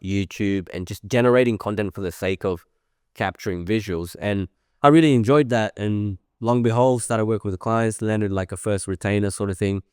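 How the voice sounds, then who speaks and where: A man's voice: lowish voice, moderate pace, clean tone, no room sound, studio quality. A man in his thirties whose first language is French, talking as an interview guest in a chatty, rambling way with many fillers. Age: 30s. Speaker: guest, creative director. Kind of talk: casual interview.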